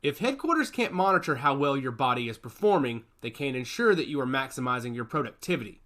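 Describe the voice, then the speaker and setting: A man doing a calm, firm squad leader. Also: mid-range voice. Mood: measured. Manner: measured, authoritative.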